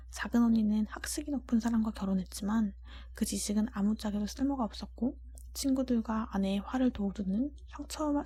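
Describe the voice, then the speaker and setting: Woman softly whispering a storybook, very breathy. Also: mid-range voice. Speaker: audiobook-style narrator. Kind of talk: whispered ASMR storybook reading.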